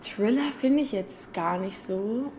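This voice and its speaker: A woman in her twenties from Berlin with a Berlin accent, an actress chatting casually as an interview guest, hesitant, often trailing off softly. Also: medium pitch, slow pace, a little hiss or hum. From Germany. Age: 20s.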